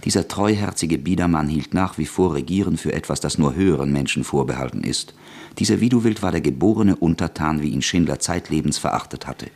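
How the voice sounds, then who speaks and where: Very deep husky male narrator. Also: brisk, a little mellow. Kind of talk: scripted narration.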